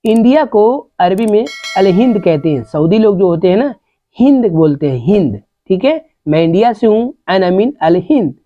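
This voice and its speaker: A woman teaching Arabic phrases in a slow, repetitive vocabulary lesson, saying each phrase several times. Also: mid-range voice, lively intonation, dark tone. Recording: dull, lo-fi sound.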